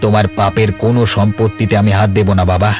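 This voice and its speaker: A man voices a headstrong village uncle in a cartoon tale. He speaks quickly in a lowish, curt voice, blunt and unbending, over faint music. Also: level tone, natural voice. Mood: angry, defiant. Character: blunt, stubborn grown son and village uncle. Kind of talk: Bengali cartoon voice acting. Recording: music under the voice.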